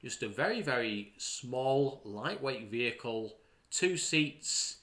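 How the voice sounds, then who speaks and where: A man's voice: medium pitch, lively intonation, takes it slowly, a bit bright. A man hosting a podcast, giving opinion commentary in an emphatic way, repeating words for stress. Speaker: podcast host.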